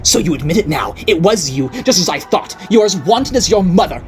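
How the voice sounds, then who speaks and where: A woman voicing an unhinged, jealous middle-aged noblewoman who rants and accuses in a shrill voice. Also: lively intonation, brisk, music in the background, close to an everyday voice. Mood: obsessive. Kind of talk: audiobook character voice.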